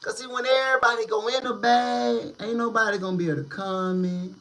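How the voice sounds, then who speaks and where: A man hosting a casual street-news commentary channel, talking in slang with drawn-out, emphatic delivery. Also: very high-pitched, steady tone, a bit bright.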